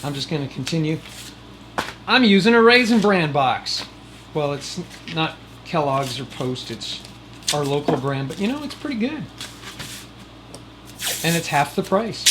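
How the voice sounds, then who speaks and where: A man's voice: fairly high pitch, big pitch swings, measured pace, pauses often. A man giving casual how-to vlog talk as host, folksy and jokey with rambling asides. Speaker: host of DIY video series. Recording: loud air conditioner noise behind voice. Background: air conditioner hum.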